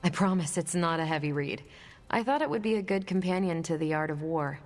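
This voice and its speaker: A woman's voice: low-pitched, brisk, darkish tone, faint music behind, close to an everyday voice. A woman voicing a friendly, earnest superhero in a video game, casual and encouraging. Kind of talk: video game cutscene acting. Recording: reverberant hall.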